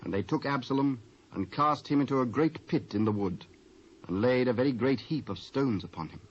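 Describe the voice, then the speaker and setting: A British man narrating scripture in a measured, scripted style with archaic English. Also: medium pitch. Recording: muffled cassette transfer with faint noise.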